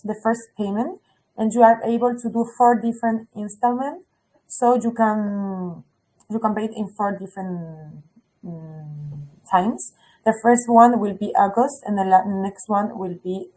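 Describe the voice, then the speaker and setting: A woman's voice: mid-range voice, dark tone, low-quality recording. A woman representing a school, explaining fees and places in a webinar with frequent self-corrections. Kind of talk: webinar information talk.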